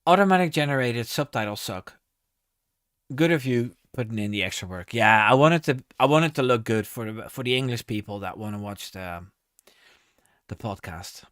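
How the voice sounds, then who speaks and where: A man's voice: mid-range voice, lively intonation, moderate pace, fairly bright tone, smooth, no echo, studio quality. A man hosting a casual live stream, reading viewer comments aloud and answering with many ums and restarts.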